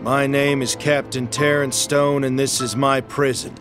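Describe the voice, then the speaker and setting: An actor playing a harsh, foul-mouthed prison captain who barks orders at new inmates in a game cutscene. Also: male voice, medium pitch, level tone, measured pace, over music, natural voice. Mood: menacing. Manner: barking, profane, intimidating.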